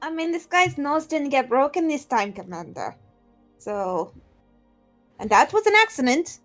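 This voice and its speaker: A woman's voice: high voice, sing-song, unhurried, full character voice. A woman voicing a flustered, defensive adventurer in a tabletop game, stammering and repeating herself. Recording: music playing behind.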